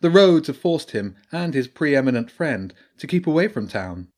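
Picture a wise, grave badger priestess from a storybook, lifted into a higher register by a man reading her lines. She speaks in a measured, knowing way and delivers her warnings with weight. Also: sing-song, a little mellow. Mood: explaining. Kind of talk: audiobook character voice.

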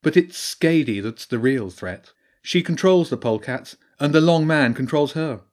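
A man voicing a wise female badger priestess in an audiobook, speaking in a measured, knowing way with solemn warnings. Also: on the high side, expressive, voice pushed up, close to an everyday voice. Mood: explaining. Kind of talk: audiobook character voice.

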